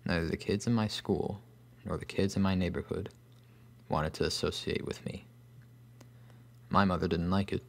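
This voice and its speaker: An actor playing a solemn, bookish loner recalling his boyhood, narrating a scripted horror story with an American accent and grave, measured phrasing. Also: deep voice, steady tone, creaky. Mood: sombre. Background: guitar music.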